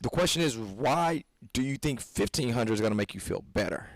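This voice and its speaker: A man's voice: mid-range voice, animated, brisk, gravelly, no room sound. Earnest man in his thirties, preaching fitness. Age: 30s. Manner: earnest, preachy, rambling with restarts. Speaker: podcast co-host, fitness coach. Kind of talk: casual podcast discussion.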